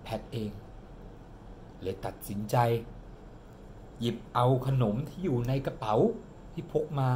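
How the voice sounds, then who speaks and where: A man's voice: mid-range voice, a little hiss or hum. A man in his forties improvising a ghost story for his young daughter at home, dramatic and drawn out for suspense. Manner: animated, dramatic storyteller who slows down for suspense. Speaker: father telling a bedtime-style ghost story to his daughter. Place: home living room, indoors. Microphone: camera on the table in front, close.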